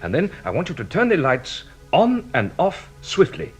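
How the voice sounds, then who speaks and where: An actor playing a shrewd, courteous police detective in a radio drama, speaking with a theatrical, deliberate manner. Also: male voice, on the high side, huge pitch swings, faint music behind. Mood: hushed, commanding. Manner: theatrical, deliberate explaining, savouring each revelation.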